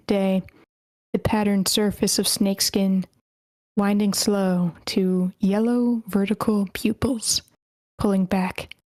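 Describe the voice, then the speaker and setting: A woman narrating stage directions at a screenplay table read in a measured way, with the odd stumble over a word. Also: medium pitch, darkish tone, no echo. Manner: measured, slightly stumbling reading. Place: online meeting.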